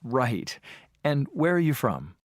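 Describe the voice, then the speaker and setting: An adult voice playing an interviewer in a scripted textbook dialogue, asking friendly questions with measured delivery. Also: medium pitch, very animated, dry recording, studio quality. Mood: plain.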